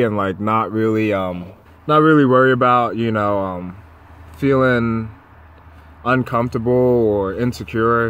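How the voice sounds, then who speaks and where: A young man vlogging from his parked van, talking casually and rambling, with easy laughs. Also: lowish voice. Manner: relaxed, rambling, laughs between words. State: tired and tipsy late at night. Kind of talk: casual vlog to camera. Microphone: phone camera at arm's length.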